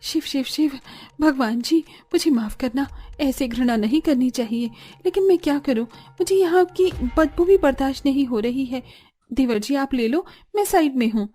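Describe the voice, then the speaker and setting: A woman acts a young Indian-family cartoon bride, dutiful yet indignant. The voice is high and soft-toned, with an expressive, plaintive delivery over music. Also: normal speed, lightly acted. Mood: disgusted, plaintive.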